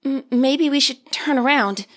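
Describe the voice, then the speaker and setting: Woman voicing Penny, a quiet, shy teen girl. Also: high-pitched, a little slow, pitched above the performer's own voice. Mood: nervous. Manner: soft-spoken and hesitant.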